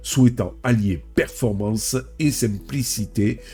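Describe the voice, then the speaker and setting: Homme enrhumé, voix sombre et voilée. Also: male voice, on the low side, unhurried, darkish tone, slightly rough, faint music behind. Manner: reads then adds chatty personal remarks. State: stuffy with a cold. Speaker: tech video host. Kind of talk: reading a news script with asides.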